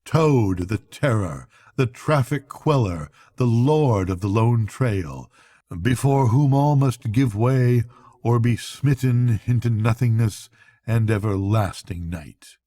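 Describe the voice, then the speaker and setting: An older man narrating a bedtime story aloud as a storyteller, with measured, dramatic phrasing. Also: mid-range voice, mellow, no room sound, studio quality. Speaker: storyteller, grandfather reading aloud.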